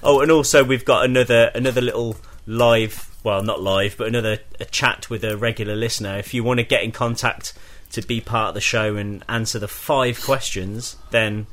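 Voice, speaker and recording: A man co-hosting a casual podcast, talking in a slightly monotone way. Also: medium pitch, normal speed. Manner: self-described slightly monotone. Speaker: podcast co-host.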